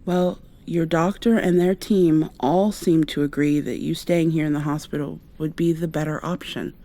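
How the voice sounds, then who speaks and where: A woman playing a devoted, worried partner of a sick loved one, speaking tenderly and haltingly to the listener in an intimate ASMR roleplay. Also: low-pitched, mellow. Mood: tender, firm. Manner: tender, soothing, halting and reassuring.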